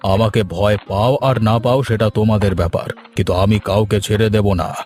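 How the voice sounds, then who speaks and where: A man's voice: on the low side, fairly even intonation, normal speed, darkish tone, soft background music, natural voice. A man voicing an elderly, trusting landowner father in a cartoon. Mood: stern. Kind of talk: Bengali cartoon dubbing.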